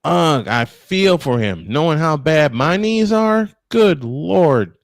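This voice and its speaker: Male play-by-play baseball announcer, mid-pitched and very lively, folksy and exclamatory, chatting between pitches on a dry mic. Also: takes it slowly, gravelly, dry recording. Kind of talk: live baseball commentary mixed with casual chat.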